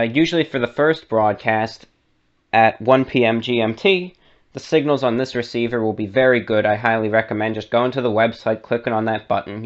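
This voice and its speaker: A man's voice: medium pitch, highs cut off. A man giving a conversational, step-by-step how-to narration as the host of a radio show. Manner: conversational, step-by-step instructions with asides. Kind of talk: explanatory how-to tutorial narration.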